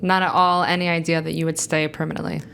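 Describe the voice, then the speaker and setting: A woman's voice: deep voice, level tone, fast, creaky, fairly bright tone, slight background noise. A woman co-hosting a podcast, asking follow-up questions with enthusiastic interjections. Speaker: podcast co-host.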